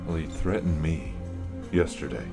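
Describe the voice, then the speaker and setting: A man playing an anxious, flustered radio station worker in a horror audio drama, halting and stammering. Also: a bass voice, creaky, over music. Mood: uneasy, hesitant. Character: uneasy, flustered male radio station colleague.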